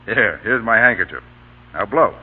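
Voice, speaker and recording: An actor playing an authoritative yet gentlemanly army colonel in an old radio drama. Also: male voice, on the low side, expressive, moderate pace, breathy edge. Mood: courteous, gentle. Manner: authoritative, clipped military orders, gentlemanly. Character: commanding, courteous male Union army colonel, stern but kindly. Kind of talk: 1930s-40s radio drama acting. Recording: dull, noisy vintage radio broadcast.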